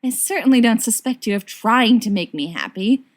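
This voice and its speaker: A woman's voice: on the high side, animated, normal speed. A woman voicing a wry, teasing, fond young woman in audiobook-style dialogue. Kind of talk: audiobook-style dialogue reading of fan fiction.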